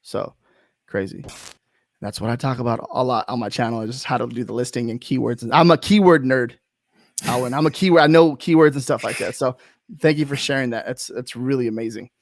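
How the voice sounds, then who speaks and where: A man's voice: mid-range voice, a little fast, dry recording. A man hosting a casual video-call interview, rambling with frequent restarts and fillers. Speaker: host.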